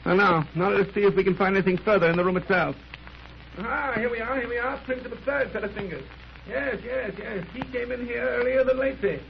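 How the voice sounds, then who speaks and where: A confident detective in a 1930s radio play, brisk and wryly condescending. His high-pitched voice has a gravelly edge. The old recording is muffled under steady noise. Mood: absorbed, eager. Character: keen, confident male detective with dry teasing wit. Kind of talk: 1930s radio drama acting.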